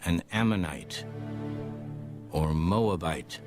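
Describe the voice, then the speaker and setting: Male narrator for solemn scripture, low and unhurried, over clear background music. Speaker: audio Bible narrator.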